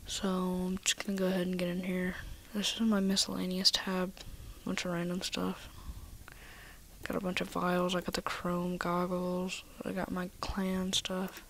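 A woman casually walking through her game inventory in a soft, half-whispered voice, a little nasal from a cold, recorded on a computer mic. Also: on the low side, completely flat delivery, unhurried, creaky, slight background noise, rough recording. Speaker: gaming video maker.

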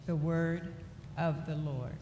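A middle-aged woman reading scripture aloud at a church lectern in a slow, deliberate way. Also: sits low, fairly even intonation, warm tone. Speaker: lay reader. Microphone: lectern microphone. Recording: echoey church hall with faint noise.

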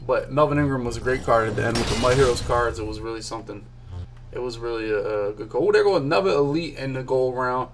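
A young man from Philadelphia rattles off card pulls in a loose, hyped stream commentary at a mid-range pitch. He talks straight to his chat from a small home room on a desk mic. A faint hum sits under his voice. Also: fairly even intonation, fast talker. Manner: chatty, hyped, talks to chat while clicking. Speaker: gaming streamer. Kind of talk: casual pack-opening commentary.

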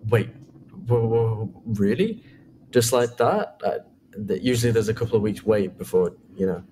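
A man's voice: lowish voice, normal speed, dark tone, a little hiss or hum, natural voice. A man playing a nervous, friendly young job applicant and victim in an analog horror film, with a hesitant, stammering delivery. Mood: uneasy, surprised.

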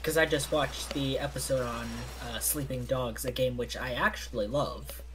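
Casual mid-pitched man, slightly noisy. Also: normal speed. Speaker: let's play co-commentator. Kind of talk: casual chat over gameplay.

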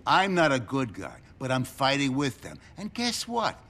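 An actor playing a smug, cynical middle-aged American government agent, sardonic and commanding, in a video game cutscene. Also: male voice, medium pitch, huge pitch swings, normal speed, fairly bright tone, exaggerated voice.

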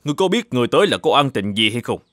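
A man voicing an upright, determined governor in an animated folk tale, speaking formally and with measured authority. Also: mid-range voice, no echo, studio quality. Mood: grave, composed.

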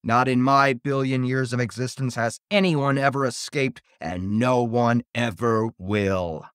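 A man's voice: mid-range voice, measured pace, a bit bright, smooth, no echo, studio quality, natural voice. A man voicing an ancient, evil female monster in a horror story, taunting and gloating over a victim. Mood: menacing, gloating. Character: ancient evil female monster, menacing servant of dark lord.

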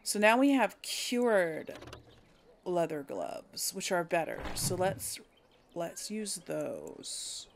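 A woman's voice: lowish voice, sing-song, takes it slowly, vocal fry, a little hiss or hum. A woman giving casual game commentary, thinking aloud in a hesitant, musing way as she hosts. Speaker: gaming video host.

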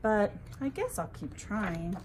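Storybook role: small young male firefly, earnest and determined, played by a woman, highly animated pitch, unhurried. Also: slight background noise. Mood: glum, resolved. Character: little male lightning bug, young, discouraged but determined.

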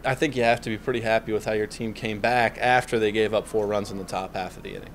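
A man's voice: lowish voice, steady tone, quick pace, slight background noise. A young man working as a sports network interviewer, asking a coach postgame questions in a composed, broadcaster-like way. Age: young adult. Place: indoor lounge with large windows. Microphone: handheld mic.

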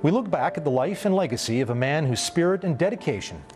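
A man's voice: fairly high pitch, expressive, fast, music in the background. A middle-aged man presenting scripted news as an anchor in a television studio. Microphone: lapel.

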